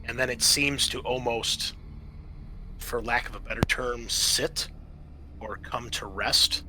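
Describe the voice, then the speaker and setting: A man running a tabletop game as game master, describing scenes in a halting, improvised way with many pauses. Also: mid-range voice, steady tone, music in the background, low-quality recording.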